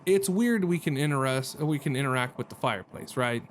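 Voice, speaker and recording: Man, gaming host, casual commentary, mid-pitched, self-deprecating slang, clear noise behind. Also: expressive, brisk. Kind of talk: casual let's-play commentary.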